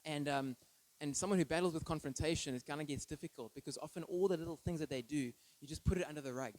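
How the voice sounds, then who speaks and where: Higher-voiced man preaching conversationally. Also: quick pace, darkish tone, no room sound, poor audio. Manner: informal, checks in with listeners using tag questions. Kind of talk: conversational sermon with personal anecdotes.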